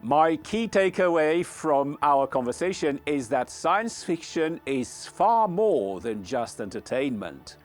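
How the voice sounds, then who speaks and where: A man hosting a podcast, delivering scripted closing remarks in an earnest, formal and measured way. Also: on the high side, very animated, faint music behind. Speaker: podcast host.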